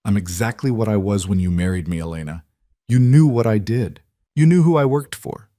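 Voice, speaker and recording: A cold, intense mafia boss acted by a male audiobook narrator. He speaks in a low register with clipped, demanding force. Wounded fury sits under the control, and the sound is close and dry. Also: expressive, a little fast, dark tone, no echo, natural voice. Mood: wounded anger. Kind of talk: dramatic audiobook dialogue.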